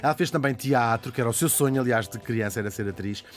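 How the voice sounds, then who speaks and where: A man with a Portuguese accent telling a lively radio biography, animated, laughing often and adding quick asides. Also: medium pitch. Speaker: radio columnist. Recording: music bed under radio studio talk.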